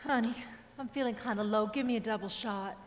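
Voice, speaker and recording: A woman's voice: fairly high pitch, fairly quick, natural voice. A woman with an American accent playing a troubled, lovestruck jazz singer in a staged music drama, dreamy and defiant. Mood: restless, defiant. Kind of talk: staged reading of a music drama, sung and spoken. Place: performing arts theater stage. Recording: reverberant theater hall.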